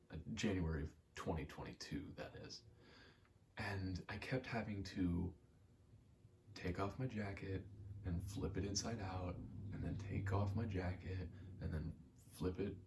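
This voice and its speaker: A man's voice: low-pitched, a little mellow, slight background noise. A young man giving a casual, meandering ASMR ramble while gaming, often interrupting himself in an understated way. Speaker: ASMR creator.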